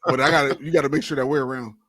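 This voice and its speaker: A man's voice: mid-range voice, fairly even intonation, rapid-fire, fairly bright tone, no echo. A man co-hosting a podcast, bantering casually with jokes and swearing. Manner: joking, profane. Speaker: podcast co-host.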